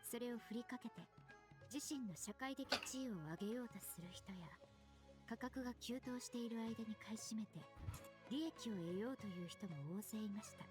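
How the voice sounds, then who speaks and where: A woman playing a polite, knowledgeable young perfumer in a video game, speaking in a formal, measured, explanatory manner. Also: fairly high pitch, natural voice. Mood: composed explaining. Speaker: voice actor. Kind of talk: scripted game dialogue. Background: background music.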